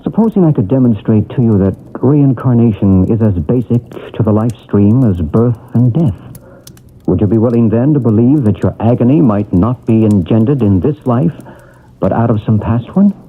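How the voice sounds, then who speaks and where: An actor playing a gentle, probing male psychic healer who talks in a soft, measured, reassuring way, in a radio drama. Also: medium pitch, expressive, husky. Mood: calm. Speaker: psychic researcher. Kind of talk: 1970s radio drama acting. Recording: tinny old radio broadcast.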